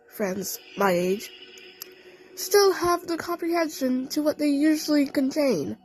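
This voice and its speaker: A woman narrating a scripted first-person story, with halting phrasing and odd pauses. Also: high-pitched, poor audio. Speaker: narrator of a fan audio play.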